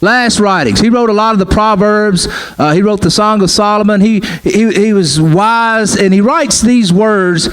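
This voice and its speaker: High-voiced man preaching conversationally. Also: moderate pace, fairly bright tone, clean tone. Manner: conversational preaching, repeats phrases, frequent "man" and "right?". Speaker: pastor. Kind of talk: sermon.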